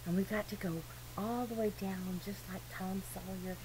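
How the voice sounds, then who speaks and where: A woman's voice: medium pitch, fairly even intonation, normal speed. A woman giving an informal, rambling religious monologue in an earnest, emphatic, digressive way. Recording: noisy amateur recording with clear hum.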